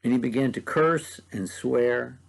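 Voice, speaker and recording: A male preacher with an Ohio Valley accent talks through a passage of scripture in a short devotional. His voice has a gravelly, creaky edge and sits in an ordinary middle pitch. He sounds earnest and conversational, with frequent ums. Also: a little slow. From mid-Ohio Valley, USA. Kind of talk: short devotional Bible teaching.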